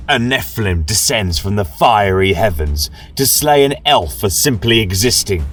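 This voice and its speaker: A man's voice: on the low side, breathy edge, far from the mic, exaggerated voice. A man playing a bitter, mournful male elf champion in a fantasy audio tale, speaking in a stern, solemn manner.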